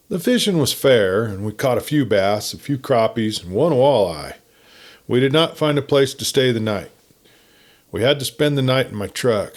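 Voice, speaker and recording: A man narrating a written campfire story in a folksy, conversational, unhurried manner. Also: medium pitch. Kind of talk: folksy scripted story reading.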